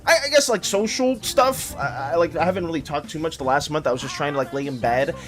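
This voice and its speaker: A man livestreaming in casual chat, rambling and stammering as he thanks viewers, with a slightly hoarse, dry voice. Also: on the high side, animated, fast talker, over music. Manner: rambling, stammering, repeats words; reads chat messages aloud and thanks viewers.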